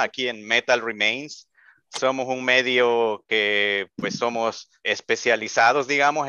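A man's voice: medium pitch, pushed and bright, smooth, dry recording, dull audio. A man hosting a metal show, asking long, polished interview questions on a video call. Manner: polished, formal phrasing. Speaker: podcast host, interviewer.

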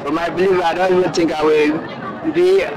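A young student speaks earnestly into a handheld mic outdoors, his voice a touch high. A loud crowd and steady noise fill the space behind him. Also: normal speed. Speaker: new student. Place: outdoor university campus. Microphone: handheld microphone.

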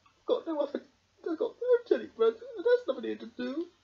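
A tiny, miserable female teddy bear from a storybook. The voice is very high and swoops in wailing, self-pitying song. The sound is dull and lo-fi. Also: big pitch swings, a little fast, creaky, exaggerated voice. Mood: mournful. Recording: dull lo-fi camera audio.

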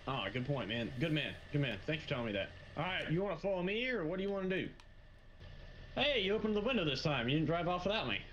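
Casual male roleplay gamer, higher-pitched, joking and grumbling, lo-fi sound with faint noise. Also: very animated, fast talker, fairly bright tone. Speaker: gaming video creator playing a truck roleplay. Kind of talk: casual improvised gaming roleplay banter. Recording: dull lo-fi mic, faint noise.

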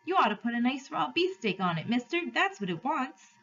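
Lady putting on a cockney lad in a street crowd, cheeky, jokey, high voice, storybook style. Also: big pitch swings, fairly quick, fairly bright tone, muffled recording, exaggerated voice. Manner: cheeky, jocular. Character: cheeky male onlooker in a rowdy London street crowd.

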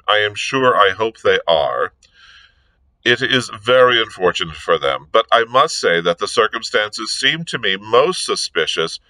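A man acts out a respectable, snobbish matron in an audiobook. She speaks with prim disapproval and stiff politeness, with a sharp edge and a dry, close sound. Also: lowish voice, dry recording, rough recording. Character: haughty, disapproving respectable married woman.

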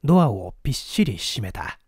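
Male audiobook narrator, mellow and dry-sounding, dramatic storytelling style. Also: mid-range voice, sing-song, moderate pace, breathy edge, no echo.

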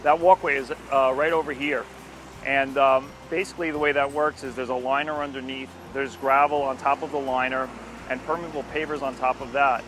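An older man giving an informal, unscripted explanation to camera outdoors, speaking in a halting, explanatory way. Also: medium pitch, flat delivery, a bit bright. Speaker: landscape company presenter. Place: outdoors at a street corner by a station. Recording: music behind the voice, outdoor recording. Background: music.

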